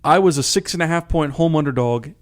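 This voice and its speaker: A man's voice: on the high side, fast. A man co-hosting a sports radio show, talking casually through game picks.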